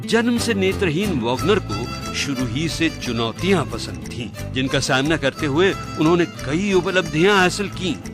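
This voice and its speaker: A man's voice: a little high, expressive, measured pace, few pauses. Man narrating over guitar music. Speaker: guest presenter reading a profile. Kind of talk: scripted radio narration.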